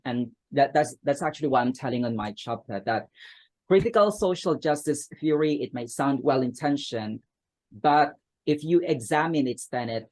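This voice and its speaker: A man's voice: medium pitch, darkish tone, rough recording. A man from the Philippines, answering interview questions hesitantly, with many ums and restarts. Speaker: guest author.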